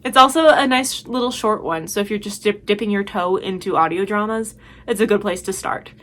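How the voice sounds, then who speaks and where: Bright-voiced woman gushing in a vlog. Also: mid-range voice, fairly quick. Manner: gushing, emphatic, chatty. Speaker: video host. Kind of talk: casual enthusiastic recommendation vlog.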